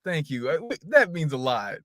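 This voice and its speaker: A man, a singer, guesting on a podcast, chatting warmly and gratefully and laughing often. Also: fairly high pitch, sing-song, normal speed, no echo, studio quality. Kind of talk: casual interview chat.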